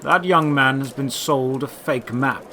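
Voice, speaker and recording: A man voicing a tall, mysterious old man in a fantasy audio drama, gruff and calm, speaking slowly and deliberately. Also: mid-range voice, a little hiss or hum. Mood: solemn, inviting. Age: older. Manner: slow, deliberate, measured delivery. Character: tall old robed man, mysterious, cold yet calming.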